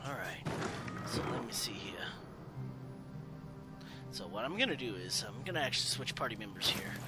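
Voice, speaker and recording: A man giving casual, rambling let's play commentary as a gaming host, often halting and trailing off mid-sentence. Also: on the low side, lively intonation. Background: video game music.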